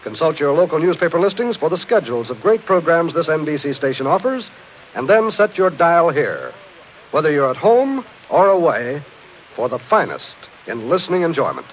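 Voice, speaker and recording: Male American announcer, animated and polished vintage delivery, dull old radio sound with faint music. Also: fairly high pitch, moderate pace, a little hiss or hum. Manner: polished, formal. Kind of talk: 1950s radio announcing, scripted.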